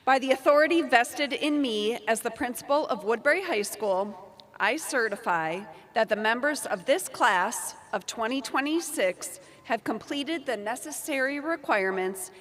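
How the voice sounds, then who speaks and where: A woman's voice: fairly high pitch, animated, a bit bright. A woman principal formally presenting a graduating class at a ceremony. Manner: formal, measured. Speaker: school principal. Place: large hall.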